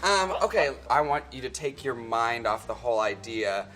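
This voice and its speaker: A man with a campy, animated delivery whose voice leaps high on exclamations. He chats casually while working as a drag queen makeup artist in a studio, and faint music plays underneath. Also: moderate pace. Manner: campy, animated, big pitch swings on exclamations. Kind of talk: casual chat, playful.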